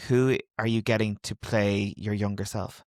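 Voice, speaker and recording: A man's voice: lowish voice, fairly even intonation, moderate pace, no room sound, studio quality. A man hosting a casual interview, asking easygoing, playful questions. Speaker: podcast host.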